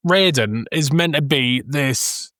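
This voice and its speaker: A man with a mid-range voice chats as a podcast co-host about films. He argues his points in halting steps, recorded dry and close. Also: medium pitch, bright, forceful tone, dry recording. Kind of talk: casual film discussion.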